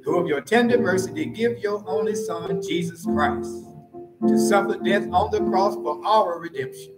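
A man serving as pastor, reciting a communion liturgy in a slow, solemn, measured way from the pulpit of a church sanctuary. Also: sits high, over loud music. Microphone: pulpit mic from far camera. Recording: roomy church echo.

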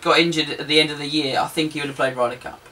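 A man in his thirties co-hosting a golf chat show in a small basement studio, easygoing and agreeable, often chiming in with quick replies. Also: a little high. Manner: relaxed, agreeable, quick back-and-forth replies. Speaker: co-host. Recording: distant mic, faint room hum.

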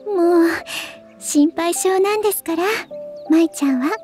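A very high, gentle girlish voice, slow and mellow. She is a refined young lady idol in an anime drama, wistful and softly polite, with faint music behind her. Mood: tender, earnest. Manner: soft, polite, ladylike, wistful. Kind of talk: anime game voice drama, narration and dialogue.

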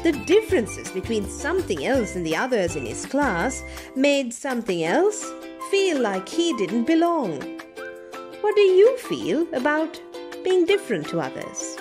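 A young woman reading to children as a storyteller, deliberate, teacherly and very expressive. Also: sits high. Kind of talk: scripted children's read-aloud and questions. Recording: music behind. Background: music.

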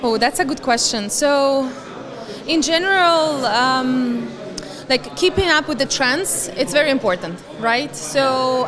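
A woman from Latvia in her thirties, giving earnest answers in a conference interview, speaking into a handheld mic. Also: high-pitched. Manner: earnest, slightly non-native grammar. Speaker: guest, fund managing partner. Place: conference hall, in front of a banner wall. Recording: busy conference crowd behind. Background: crowd chatter.

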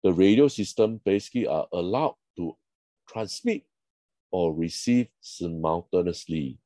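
Low, dark-voiced man explaining step by step. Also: lively intonation, unhurried, dry recording. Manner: explanatory, step by step, in short phrases. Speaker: instructor. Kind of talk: slide lecture narration.

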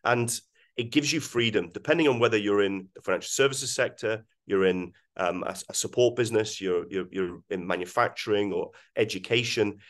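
A man's voice: fairly low pitch, brisk. A Scottish man in his forties presenting on a video call, speaking with many ums and restarts. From Scotland. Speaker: webinar guest speaker. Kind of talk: presenting slides in a webinar.

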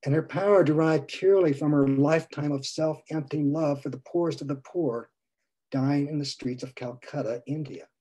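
A priest in his sixties preaching a gentle sermon from a home study over a video call, his phrases trailing off softly. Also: on the high side, normal speed, rough recording. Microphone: video call webcam.

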